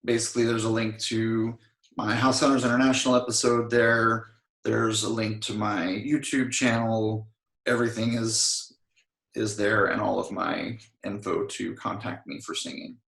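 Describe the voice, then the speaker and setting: A man talks loosely in an interview, circling back with fillers and restarts as he goes. His voice is a little hoarse from singing, and it rings around a roomy space. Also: fairly low pitch, level tone, normal speed, a bit bright. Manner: rambling, frequent "I mean" fillers. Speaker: interview guest, singer. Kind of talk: casual interview talk.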